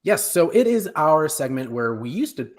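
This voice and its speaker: A man's voice: fairly high pitch, sing-song, normal speed. A middle-aged man co-hosting a casual live pop culture show on a video call from home, talking in a joking, conversational way. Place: home room, indoors.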